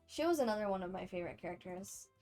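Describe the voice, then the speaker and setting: A woman's voice: mid-range voice, expressive, fast, over music. A woman livestreamer giving casual, chatty gameplay commentary to her viewers, speaking off the cuff. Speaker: game livestreamer.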